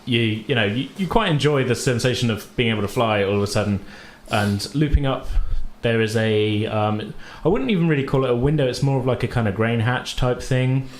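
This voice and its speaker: A man's voice: mid-range voice, a little fast, few pauses, slight background noise. A man narrating a tabletop role-playing game as game master, conversational, loosely describing things as he goes. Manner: conversational, hedging descriptions with "kind of" and "sort of".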